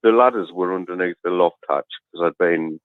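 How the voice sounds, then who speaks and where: Deep-voiced man on a muffled phone line. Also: fairly quick, no echo. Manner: chatty, rambling, laughs through his stories. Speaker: caller. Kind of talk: casual phone-in storytelling.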